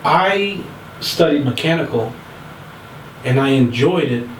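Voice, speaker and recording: A man's voice: mid-range voice, animated, slow pace, reverberant, far from the mic, a little hiss or hum, rough recording. A man interviewing a guest, asking brief questions and adding short prompts. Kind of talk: casual interview.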